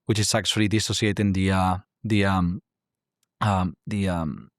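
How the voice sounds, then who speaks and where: A man's voice: deep voice, steady tone, normal speed, no room sound, clean studio sound. A man from Spain with a Spanish accent, in his thirties, explaining his career and industry as a podcast guest, peppering his talk with "like" and "right?". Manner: constant filler "like" and tag "right?". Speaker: guest, climate tech investor. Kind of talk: casual interview talk.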